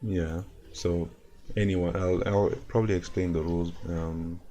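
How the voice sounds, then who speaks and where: A man streaming from home, hoarse and worn out from no sleep, chatting loosely and chuckling as he reacts to animations. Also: low-pitched, flat delivery, unhurried, mellow, slight background noise. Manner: laid-back, rambling, chuckles often. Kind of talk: casual livestream chat and reactions.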